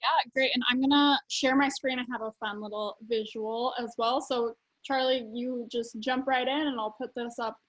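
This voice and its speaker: A woman's voice: on the high side, fairly even intonation, moderate pace, few pauses, fairly bright tone, highs cut off. A young woman hosting a virtual wine tasting in a friendly, chatty way with fillers. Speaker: host.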